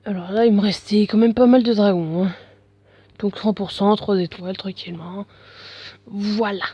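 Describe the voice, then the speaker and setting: Male gameplay commentator, congested from a cold, breathy, slangy and offhand. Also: high-pitched, fairly even intonation, normal speed, no room sound, lo-fi sound. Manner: mumbling, slangy, full of fillers. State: has a bad head cold. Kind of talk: casual game commentary.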